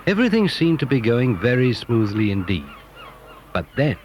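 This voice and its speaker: A British man narrating a children's story in a measured storytelling manner. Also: mid-range voice, very animated, a little mellow, a little hiss or hum. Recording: story cassette with faint music.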